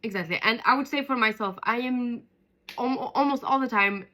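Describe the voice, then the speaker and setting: Bright-voiced Arabic-speaking woman co-host. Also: on the high side, moderate pace. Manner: hesitant, searches for simple words. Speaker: podcast co-host. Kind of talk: casual chat.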